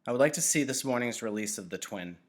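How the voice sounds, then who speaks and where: A man's voice: medium pitch, animated, fast, voice pushed up, close to an everyday voice. A man voicing a curious, naive and eager boy apprentice in a storybook, earnest and chatty.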